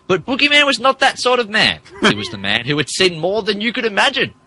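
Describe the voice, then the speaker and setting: Animated, bright-voiced man narrating dramatically. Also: high-pitched, brisk. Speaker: podcast narrator. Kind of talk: dramatic comic narration of fan fiction.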